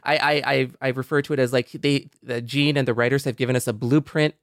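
Male panelist, smooth clear mid-range voice, earnest and conversational, dry close recording. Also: mid-range voice, fairly even intonation, fast talker, dry recording. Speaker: podcast panelist. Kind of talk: casual panel discussion.

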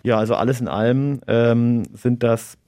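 A man in his thirties, a native Arabic speaker from Germany, answering interview questions in a measured, reflective way with an occasional chuckle. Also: mid-range voice, steady tone, warm tone, no echo, studio quality. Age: 30s. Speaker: interview guest, local politician.

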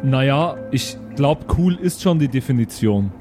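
A man's voice: mid-range voice, animated, unhurried, faint music behind, close to an everyday voice. A man playing a curious, stubborn son who argues naively and colloquially with his papa in an improvised audio drama. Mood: argumentative, naive.

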